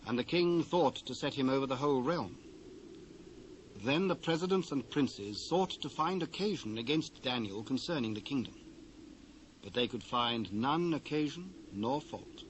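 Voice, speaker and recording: A man with a British accent narrating scripture from a script in a measured, formal manner. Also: medium pitch, expressive, rough recording. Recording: faint hiss, old cassette transfer.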